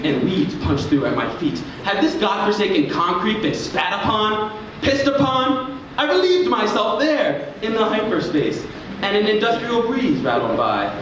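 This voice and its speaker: A man performing spoken word in a theatrical, dramatic and deliberate way, as a poet on stage. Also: sits high, sing-song, recorded from a distance. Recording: echoey, noisy room recording from afar.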